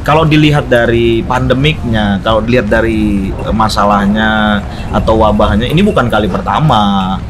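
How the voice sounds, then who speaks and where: A man's voice: fairly low pitch, moderate pace. Joking pastor man, noisy backdrop. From North Sumatra. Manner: joking, laughs easily, thoughtful when explaining. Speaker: pastor and guest. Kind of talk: casual chat. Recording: noisy background with passing vehicles.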